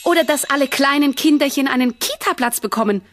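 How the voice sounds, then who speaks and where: A woman's voice: sits high, fast talker, a little hiss or hum, natural voice. A woman playing a prim, dutiful good fairy in a children's radio play, moralizing and easily put out.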